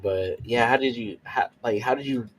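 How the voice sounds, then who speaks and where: A man's voice: medium pitch, moderate pace, a little hiss or hum, poor audio. A man playing a tabletop roleplay, chatting casually in character and often repeating his phrases as he improvises.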